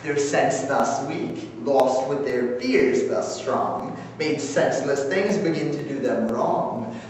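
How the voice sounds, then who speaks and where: A man playing a mischievous male fairy sprite, declaiming Shakespearean verse loudly with swooping, drawn-out words. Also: fairly high pitch, pitched above the performer's own voice. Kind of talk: theatrical Shakespearean verse monologue. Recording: echoey theater recording from far off, noisy.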